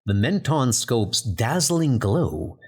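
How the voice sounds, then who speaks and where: A man's voice: medium pitch, sing-song, slow pace, background noise. A man narrating a comic book story in a dramatic, scripted style.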